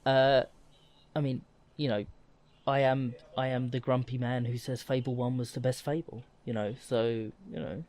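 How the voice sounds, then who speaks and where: A man with a mid-pitched voice chats casually as a podcast co-host. He rambles along in a loose, self-deprecating way. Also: flat delivery, normal speed, a little mellow. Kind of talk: casual chat.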